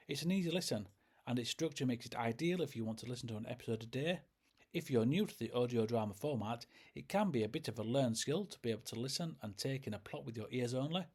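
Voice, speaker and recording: A man presents a scripted review in the role of host. His voice is a little rough and husky at an ordinary male pitch. He explains things in a measured way, point by point. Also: darkish tone. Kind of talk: scripted narration, audio drama review.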